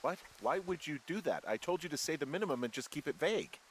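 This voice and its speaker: An actor playing a weary, anxious fire lookout in a video game, wry and blunt, speaking in a halting, exasperated, natural way. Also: male voice, a little high, animated, slight background noise, natural voice. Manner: halting, exasperated, plain-spoken.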